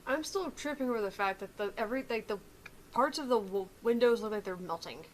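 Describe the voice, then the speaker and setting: A woman co-hosting a gaming show, chatting casually and heckling the narration with quick banter. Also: on the high side, lively intonation, slight background noise. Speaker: co-host commenting on a game.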